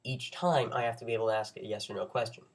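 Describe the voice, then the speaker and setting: A man's voice: mid-range voice, fairly even intonation, fast talker. A young man with a cold and a congested voice, giving an informal science explainer with wry, nerdy, self-joking asides. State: under the weather with a cold. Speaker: presenter of an educational video.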